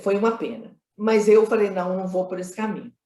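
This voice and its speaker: Roomy echoey recording, woman, medium pitch, conversational interviewee, emphatic repetitions, frequent tag questions. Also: animated, brisk, mellow. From Minas Gerais, Brazil. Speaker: film director being interviewed. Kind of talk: conversational interview, explaining creative choices.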